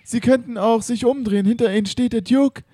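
A man playing a rambling, servile English butler with a husky voice in an improvised comic audio drama. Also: very high voice, brisk, warm tone, no echo. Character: eager, rambling English butler of an art collector.

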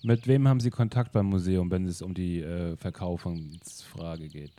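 A man voicing a male detective who talks in a brisk, businesslike way. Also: low-pitched, fairly even intonation, mellow.

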